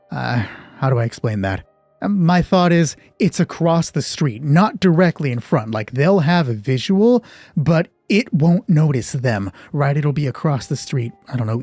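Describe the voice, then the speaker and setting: Slow, mellow man narrating over music. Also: a little high, no echo. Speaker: solo roleplay game master and narrator. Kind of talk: narrating a solo tabletop horror roleplay. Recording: music behind the voice.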